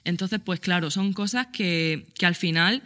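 A woman's voice: on the low side, a little slow, fairly bright tone. A woman guest on a podcast, enthusiastically explaining fashion history in casual chat.

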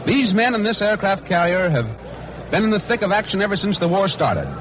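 A man's voice: high-pitched, big pitch swings, a little fast, a bit bright, a faint crowd. An American man giving 1940s on-location radio commentary as a reporter. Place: aircraft carrier hangar deck. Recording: noisy old shipboard radio broadcast.

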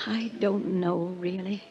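An actress playing a prim, flustered small-town American farm wife in 1950s radio drama, hesitant and very proper. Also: female voice, medium pitch, lo-fi sound. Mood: wistful, defensive. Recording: vintage radio drama recording.

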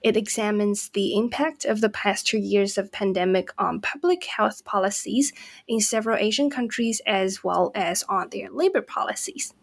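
A woman hosting an academic book interview podcast, speaking gently with hesitant fillers. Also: medium pitch, normal speed, gravelly. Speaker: podcast host.

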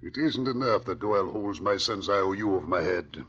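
An actor playing a stubborn middle-aged district attorney in 1940s radio style, stern, indignant and emphatic. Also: male voice, deep voice, lively intonation, a little fast, fairly bright tone, breathy edge, exaggerated voice. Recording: muffled old radio recording.

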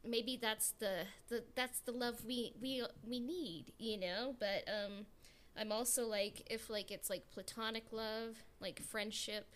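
A woman's voice: a little high, steady tone, slow, a bit bright. A woman talking casually as a podcast panelist, feeling out her opinions in short, hesitant pieces. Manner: hesitant, piecemeal phrasing with frequent "like". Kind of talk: casual fan discussion. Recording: clear background noise.

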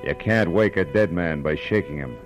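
An actor playing a tough, wry private detective in a hardboiled 1940s radio drama, clipped and sardonic. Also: male voice, deep voice, moderate pace, faint music behind, lightly acted. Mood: hardboiled narration. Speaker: lead character and narrator.

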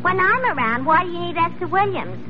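Conceited, prim single woman, high and animated, vintage radio comedy, muffled sound. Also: a little fast, soft background music. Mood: vain, huffy. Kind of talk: 1940s radio comedy acting. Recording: muffled old radio recording.

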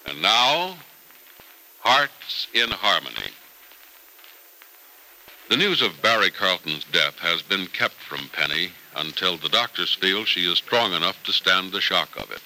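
A man's voice: sits low, big pitch swings, measured pace, pushed and bright, low-quality recording. A man announcing and narrating old-time radio from a script in a polished, emphatic style.

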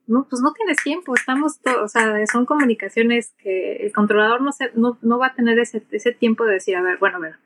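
A woman's voice: fairly high pitch, fairly quick, hardly any pauses, noisy background. A middle-aged woman with a Mexican Spanish accent, answering conversationally as an interview guest and explaining things through acted-out examples. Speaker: interview guest, pilot and aviation English instructor.

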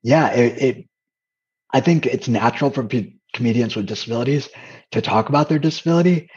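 A man with a soft voice, speaking candidly as an interview guest, hesitant with frequent fillers and restarts. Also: mid-range voice, fairly quick, breathy edge, muffled recording. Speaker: interview guest, comedian and dating coach.

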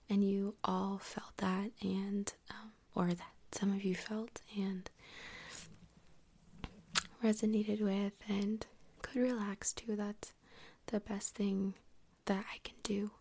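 A woman hosting soft-spoken ASMR in a whispery, breathy voice, rambling gently and unhurriedly close to the microphone. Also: medium pitch. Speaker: host of an ASMR podcast. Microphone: close USB microphone.

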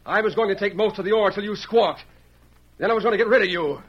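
A man's voice: high-pitched, fast talker. An American man playing a greedy, treacherous villain in an old radio western, blustering and snarling. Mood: bitter, scornful. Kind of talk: 1940s radio western acting. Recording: muffled, hissy old radio recording.

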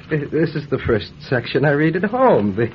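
An actor playing a frail, polite, drowsy old man in a radio drama, speaking haltingly. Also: a little high, very animated. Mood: gentle, wry. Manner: hesitant, halting, chuckling. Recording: dull lo-fi radio recording, faint noise.

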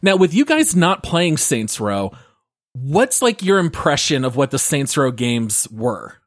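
A man's voice: medium pitch, sing-song, a little slow, dry recording. A man hosting a casual gaming podcast, upbeat and leading the discussion on a close mic. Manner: upbeat, leads the segment. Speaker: podcast host.

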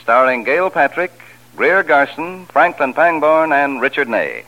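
A man's voice: on the high side, sing-song, unhurried. A man announcing and narrating a 1940s radio program in a scripted style. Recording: dull vintage radio recording. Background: music.

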